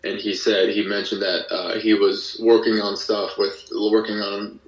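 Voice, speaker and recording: A man with a lowish voice chats as an interview guest in a halting, hesitant manner. He sounds set back from the mic in a very echoey room. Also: flat delivery. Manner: hesitant, halting delivery with frequent hedging. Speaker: podcast guest, musician and creator of an audio drama. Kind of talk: casual interview chat. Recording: roomy echo, distant from the mic.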